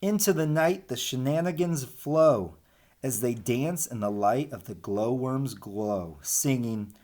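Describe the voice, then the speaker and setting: Male elementary teacher, unhurried, medium-pitched, expressive with storybook rhymes and chatty in asides to kids. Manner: expressive rhyming delivery, chatty asides addressing children. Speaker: elementary school teacher reading aloud. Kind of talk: picture-book read-aloud and informal lesson for children.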